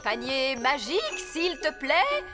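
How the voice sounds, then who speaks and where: High-voiced, bossy fairy nanny. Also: female voice, very animated, over loud music, full character voice. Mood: self-assured. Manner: brisk, dismissive, theatrical. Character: bossy, self-assured fairy nanny with magic powers. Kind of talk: animated cartoon dub.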